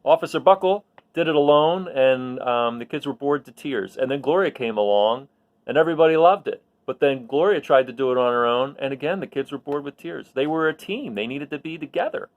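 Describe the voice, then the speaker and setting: A man's voice: medium pitch, lively intonation, fairly quick, narrow, tinny audio. A man reading a picture book aloud expressively, emphasizing key words and adding chatty asides to his listeners.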